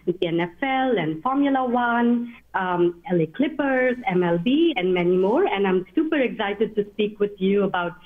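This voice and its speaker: A woman scientist, a guest in an interview, answering in a measured, slightly halting way. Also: mid-range voice, expressive. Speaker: guest, machine learning scientist. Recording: tinny phone-like line.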